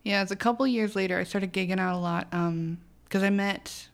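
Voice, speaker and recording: A woman in her thirties, a singer-songwriter, chatting casually as a podcast guest, self-deprecating and quick to laugh. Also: mid-range voice, fairly even intonation, vocal fry.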